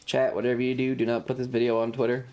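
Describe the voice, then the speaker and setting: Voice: medium pitch, flat delivery, fast talker, darkish tone. A streamer giving casual, sarcastic game commentary to chat, playfully crude and full of swearing. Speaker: streamer, host. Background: faint game music.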